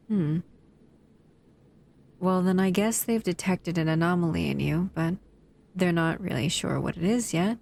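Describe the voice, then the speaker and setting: A woman playing a slow, gravelly predatory monster. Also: lowish voice, darkish tone, heavily acted. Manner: slow, teasing and condescending. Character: menacing female humanoid monster, bored, predatory. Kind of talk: audio roleplay.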